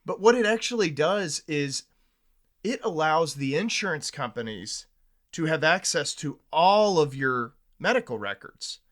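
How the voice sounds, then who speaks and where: A man's voice: on the high side, expressive, a little slow, fairly bright tone. A man hosting a podcast, talking in a candid, opinionated and conversational way.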